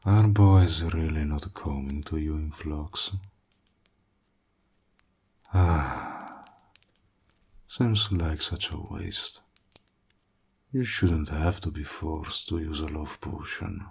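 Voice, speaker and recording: A man playing a suave, courteous and flirtatious warlock with a sly edge, soft-spoken and deliberate, in an intimate audio roleplay. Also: rumbling low, full character voice. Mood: flattering, teasing. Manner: soft-spoken, breathy, slow and deliberate, flattering. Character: suave, courteous male warlock, hospitable, flirtatious, slyly menacing.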